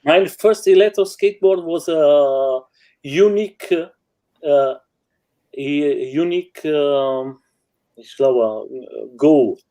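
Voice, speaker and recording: A man from Portugal speaking halting English as a guest, with many fillers as he tells stories. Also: fairly high pitch, mellow. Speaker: guest rider. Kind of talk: casual chat in non-native English. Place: home.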